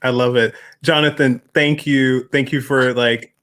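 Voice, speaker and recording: A man with a medium-pitched voice hosts an interview in a casual, conversational way. He is effusive and encouraging, doubling up words and phrases for emphasis. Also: steady tone, measured pace, a bit bright. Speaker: host, interviewer.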